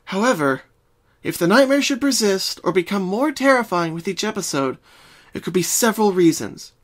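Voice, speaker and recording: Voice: mid-range voice, animated, moderate pace, voice pushed up, natural voice. A performer voicing a caring, bookish young female unicorn pony who speaks earnestly and precisely in a cartoon fan reading. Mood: studious. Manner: concerned, precise, articulate.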